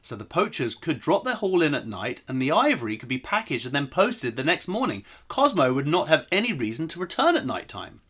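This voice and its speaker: A man's voice: a little high, sing-song, fairly quick, few pauses, fairly bright tone, breathy edge, full character voice. A man voicing a slightly posh father who reasons things out in a children's storybook reading. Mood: reasoning. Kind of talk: children's storybook read aloud.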